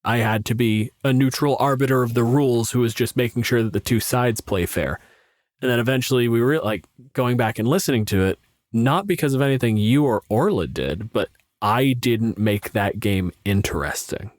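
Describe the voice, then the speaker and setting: A man's voice: medium pitch, normal speed, no echo, studio quality. A man chatting casually and frankly as a podcast co-host and game master, swearing freely.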